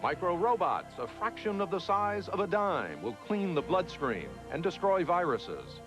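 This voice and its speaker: A man narrates a television news documentary in a fairly high, very animated voice. His delivery is measured and emphatic, with prominent music underneath. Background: background music.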